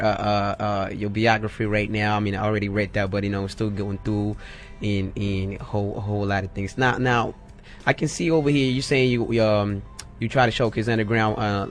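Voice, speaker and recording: A man hosting a casual radio interview, rambling and repeating his questions in slangy talk. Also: fairly low pitch. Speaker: radio show host.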